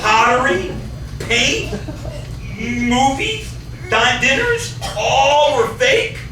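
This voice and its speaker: A man's voice: very high-pitched, sing-song, very slow, crowd noise, exaggerated voice. A man playing a hapless, bewildered boyfriend in a staged script reading. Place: small theatre stage, distant camera. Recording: very echoey theatre, distant, noisy.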